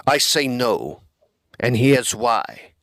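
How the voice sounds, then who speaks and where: A man's voice: medium pitch, animated, drawn-out words, fairly bright tone, full character voice. A man playing an evasive, nervous Edwardian house owner in an audio drama, guarded and formal. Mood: firm.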